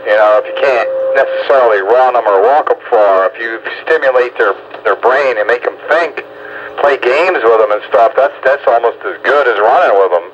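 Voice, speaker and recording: A man's voice: medium pitch, normal speed, a bit bright, slight background noise. Man chatting over dull, lo-fi audio. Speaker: husky owner and rescue volunteer. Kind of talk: casual chat.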